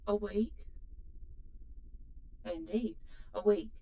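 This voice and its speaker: A woman's voice: mid-range voice, drawn-out words, dark tone, echoey room, distant mic, a little hiss or hum. A woman voicing a polite, analytical shipboard AI with formal, precise delivery in a sci-fi audio drama. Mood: informative, even. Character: polite, helpful female shipboard AI, calm and analytical.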